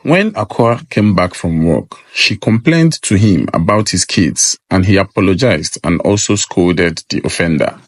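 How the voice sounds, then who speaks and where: Lively low-voiced male storyteller. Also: a little slow, hardly any pauses, breathy edge, no room sound. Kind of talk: scripted folktale narration.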